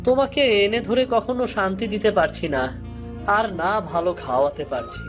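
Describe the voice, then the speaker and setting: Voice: mid-range voice, big pitch swings, normal speed, music in the background. A performer voicing a poor, simple-hearted woodcutter husband in a cartoon, earnest and plaintive. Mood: self-pitying. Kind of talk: Bengali cartoon voice acting.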